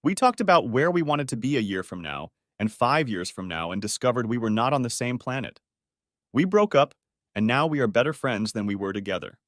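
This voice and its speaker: A man narrates a scripted story in the first person. His mid-pitched voice swings widely in intonation. The sound is dry, with no room around it. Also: very animated, a little fast, fairly bright tone, dry recording.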